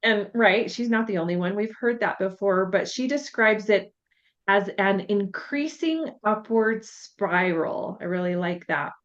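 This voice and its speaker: A woman co-hosting a podcast, setting up topics in a measured, affirming way during a reflective conversation. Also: medium pitch. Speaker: podcast co-host. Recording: slightly dull lo-fi sound.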